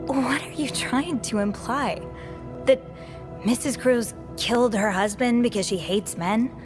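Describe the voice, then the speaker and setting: A woman's voice: a little high, a little slow, close to an everyday voice. An actress playing an earnest hotel maid in a video game drama, polite but standing her ground. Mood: wary. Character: earnest, defiant young hotel maid under questioning. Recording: background music behind the voice.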